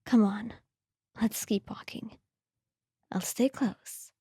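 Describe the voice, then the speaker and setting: A woman voicing a gentle, reassuring companion in a slow, breathy, soothing ASMR roleplay whisper. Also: medium pitch, steady tone, dry recording, clean studio sound. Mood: fond, calm.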